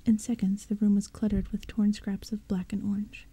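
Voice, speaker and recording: Woman whispering a story, breathy and dark. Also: medium pitch, flat delivery, normal speed. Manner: soft, hushed whisper throughout. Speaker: audiobook narrator. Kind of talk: whispered audiobook reading.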